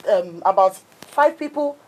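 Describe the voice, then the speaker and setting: A woman's voice: mid-range voice, lively intonation, measured pace, a little mellow, a little hiss or hum, rough recording. A Nigerian woman speaking earnestly and emphatically as an interview guest, in English with some Pidgin. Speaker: stage play cast or crew member, guest.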